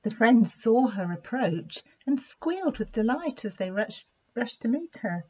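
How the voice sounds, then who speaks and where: A woman reading a children's story aloud in an expressive, deliberate storytelling manner. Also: fairly high pitch, breathy edge. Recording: dull lo-fi sound.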